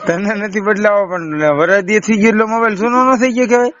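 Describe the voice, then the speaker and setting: Male, Saurashtra Gujarati accent, high voice, teasing questions, casual phone chat, dull recording. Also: steady tone, fairly quick, fairly bright tone. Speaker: prank caller. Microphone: phone call. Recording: dull lo-fi phone call recording, faint noise.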